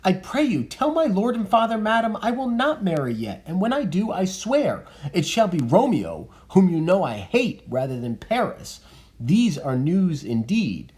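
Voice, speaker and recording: A man reading the part of a distraught young noblewoman in a high, emphatic theatrical voice. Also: sing-song, normal speed, darkish tone. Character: distraught young noblewoman hiding her feelings. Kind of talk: dramatic reading of verse.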